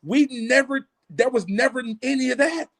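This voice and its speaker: An animated man talking football as a coach, with a high-pitched voice. He breaks down plays in casual speech and jumps into lively sideline-style voices. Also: normal speed. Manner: animated, acts out coaches' talk. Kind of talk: casual sports analysis.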